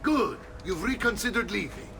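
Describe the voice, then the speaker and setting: A stern, fatherly Renaissance mentor from a video game, middle-aged with an Italian accent. His delivery is emphatic and swings widely in pitch, with gravel in it, over noisy background ambience. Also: lively intonation, normal speed. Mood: indignant. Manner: emphatic, instructive, sprinkling Italian phrases. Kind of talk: dramatic video game voice acting.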